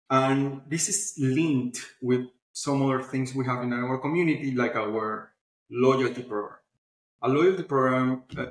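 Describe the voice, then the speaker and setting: A man being interviewed on a video call, explaining his platform informally with everyday examples. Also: mid-range voice. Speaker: founder of a publishing platform, podcast guest. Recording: roomy echo, online video call.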